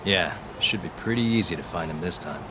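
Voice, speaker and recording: A man's voice: deep voice, expressive, fairly quick. A man playing a cool, wry detective in his thirties in a video game, with dry, understated, casual delivery. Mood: confident. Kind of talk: naturalistic video game dialogue. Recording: clear city street noise behind.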